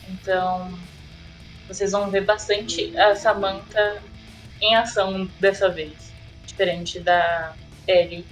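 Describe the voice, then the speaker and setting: Even-toned woman presenting her game character. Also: mid-range voice, poor audio. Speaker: player in a role-playing audio drama. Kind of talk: casual character introduction.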